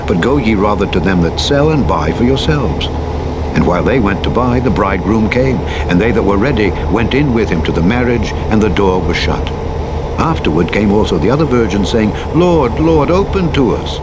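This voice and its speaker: Low-voiced man reading scripture over engine noise. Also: lively intonation. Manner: measured, formal, dramatic delivery of archaic English. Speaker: Bible narrator. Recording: played over clearly audible vehicle engine noise. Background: truck engine and road noise from a driving game.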